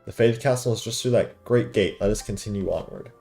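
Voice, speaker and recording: A man's voice: lowish voice, a little fast, darkish tone, faint music behind, close to an everyday voice. A man voicing a pompous, cryptic talking cat guide from a video game, speaking in formal, old-fashioned grand phrases. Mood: solemn, grand. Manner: formal, archaic, grandiose wording.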